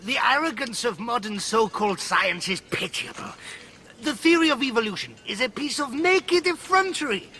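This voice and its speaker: A fanatical, scornful male professor in a radio drama. His voice is high and sharp, swinging widely in pitch. He debates with haughty contempt. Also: animated, normal speed, exaggerated voice.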